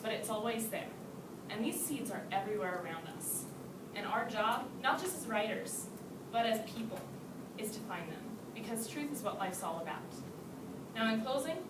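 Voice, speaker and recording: A woman's voice: a little high, lively intonation, normal speed. A young woman, a student and writer, giving an earnest prepared speech to a class with rhetorical questions. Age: young adult. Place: classroom, recorded from a distance. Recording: roomy, distant, loud background noise.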